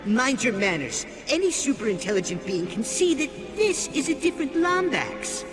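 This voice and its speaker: A small, prim alien scientist in a video game, very high and lively. He sounds a little fussy and nasal. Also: normal speed, over music.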